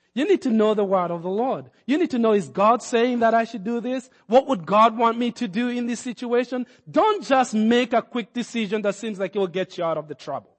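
A man from Kenya preaches in a high, ringing voice. His sermon climbs to forceful emphatic peaks, and he acts out the people in his stories. The sound carries a long hall reverb around him. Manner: preaching with rising emphatic peaks and acted-out quotes. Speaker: pastor.

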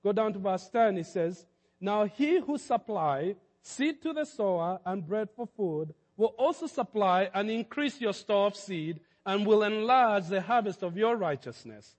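High-voiced pastor preaching in a reverberant hall. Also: male voice, expressive. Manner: halting, repeats words, rises to emphatic peaks. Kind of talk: sermon.

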